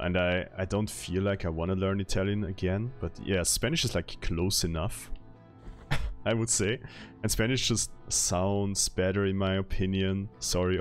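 A young man streaming a game in a casual, upbeat way, often laughing and answering his chat, talking into a studio mic in a small room. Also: deep voice. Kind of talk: casual livestream chat while gaming. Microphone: studio mic on arm, webcam framing.

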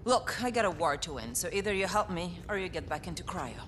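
Low-voiced, bitter military commander, echoing. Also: female voice, animated, fast, fairly bright tone, a little hiss or hum. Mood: curt. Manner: stern, curt, embittered. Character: hardened, bitter older female military commander. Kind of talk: video game cutscene acting. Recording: long reverb, clear background ambience.

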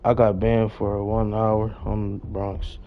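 A man's voice: on the low side, level tone, takes it slowly, slight background noise. A young American man chatting casually on a gaming livestream, slangy and repeating his greetings to viewers. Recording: slightly dull, lo-fi stream mic.

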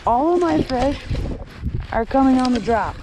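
A young man vlogging while ice fishing on a frozen lake, giving excited, slangy running commentary and talking to the fish. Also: very high voice, moderate pace. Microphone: head-mounted action camera. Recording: outdoor wind and ice noise.

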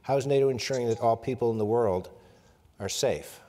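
A man's voice: medium pitch, fairly quick, warm tone, big-room sound. An older man moderating a video-call discussion, reading out audience questions.

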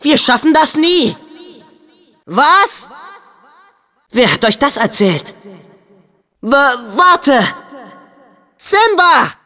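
An eager brave teen boy game hero, really animated, unhurried, big long reverb on it. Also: very high-pitched, heavily acted. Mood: alarmed. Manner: eager, expressive, youthful delivery. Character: upbeat, brave, earnest teenage boy hero. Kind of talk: German video game dub acting.

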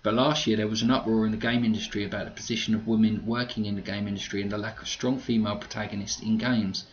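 Low-voiced man rambling on a lo-fi podcast. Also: little pitch movement, fast. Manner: rambling, conversational, wry joking asides. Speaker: podcast host. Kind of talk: casual podcast commentary, reading out listener comments. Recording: dull lo-fi audio with clear noise.

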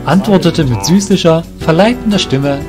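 A man's voice: on the high side, expressive, moderate pace, over music. A man reading scripted narration for a fantasy audio drama.

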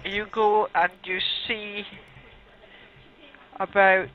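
A woman working as a helpline operator, questioning in a brisk, blunt, businesslike way. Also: mid-range voice, level tone. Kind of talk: phone intake questioning. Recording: tinny, narrow telephone line.

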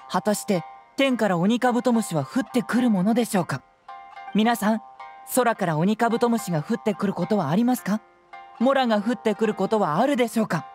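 A woman voicing a clever, composed young male detective in a game, with polished, measured, theatrical delivery. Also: mid-range voice, darkish tone, lightly acted. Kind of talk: Japanese game voice acting.

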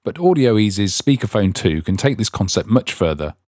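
A man's voice: on the low side, very animated, moderate pace, darkish tone, dry recording, studio quality. A man reading scripted instructional narration as the narrator of a tutorial.

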